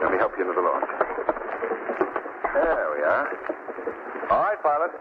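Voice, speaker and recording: An actor playing a confident, reassuring and inquisitive gentleman traveler, brisk and polished in 1940s radio style. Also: lowish voice, big pitch swings. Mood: brisk, genial. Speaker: actor in a radio horror play. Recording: tinny, noisy old radio recording.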